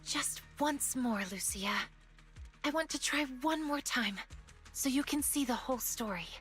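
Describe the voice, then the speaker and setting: Fairly high young woman warrior, formal, polite, composed, resolute, game dialogue, music in background. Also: animated, a little slow, fairly bright tone. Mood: wistful, determined. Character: composed, courteous young female warrior with illusion powers. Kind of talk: voiced game story dialogue.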